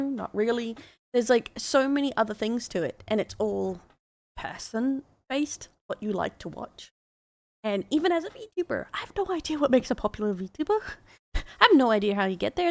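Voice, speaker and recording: A woman's voice: fairly high pitch, animated, moderate pace, vocal fry, no echo. A woman with an Australian accent, chatting casually as a VTuber reacting to fan posts, laughing often and amused. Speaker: VTuber commentator.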